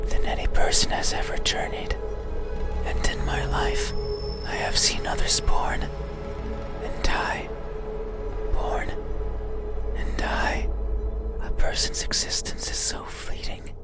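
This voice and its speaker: A man voicing an ageless, mysterious being in a horror visual novel, speaking a solemn, halting monologue in a breathy, voiceless whisper. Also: natural voice. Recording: loud eerie music over whisper. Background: loud scary music.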